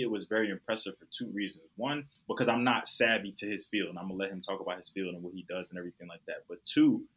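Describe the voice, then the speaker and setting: A man's voice: sits low, a bit bright, breathy edge. A young adult man hosting a podcast in a casual, upbeat, slangy way. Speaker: podcast host.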